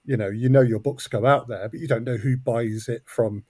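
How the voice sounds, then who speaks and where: A man speaks as a guest in a conversational interview. His voice sits at an average pitch for a man. The sound is dry, free of any room echo. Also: steady tone, normal speed, darkish tone, husky. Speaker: guest, audio drama co-creator and director.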